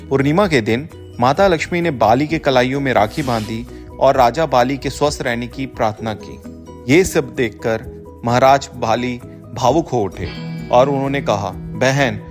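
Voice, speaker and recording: A man's voice: mid-range voice, natural voice. A man as a father storytelling, music behind. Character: father telling his child festival mythology stories. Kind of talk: storytelling for children.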